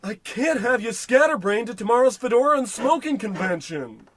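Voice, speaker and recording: A man's voice: very high-pitched, animated, moderate pace, a bit bright, a little hiss or hum. An actor playing a cocky, bossy salesman in a parody old-time radio comedy, patronizing and oblivious. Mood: dismissive, patronizing.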